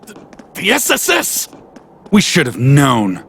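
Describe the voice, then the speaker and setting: Fanatical game villain: high, gravelly voice that swings wildly, defiant militarist ranting. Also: male voice, wildly swooping pitch, takes it slowly, a bit bright, a little hiss or hum, heavily acted. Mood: alarmed. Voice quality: raspy, strained. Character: fanatical warmongering male military loyalist villain. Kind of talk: anime-style video game voice acting.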